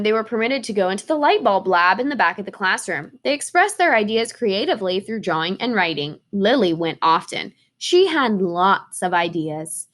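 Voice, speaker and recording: A woman reading a children's story aloud with expressive, emphatic phrasing. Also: medium pitch.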